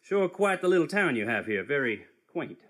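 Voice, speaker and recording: A man playing a polite, secretive newcomer, courteous but evasive, with a husky voice in a live comic radio play. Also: fairly high pitch, sing-song, moderate pace, fairly bright tone, heavily acted. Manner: courteous, hesitant, nervously evasive.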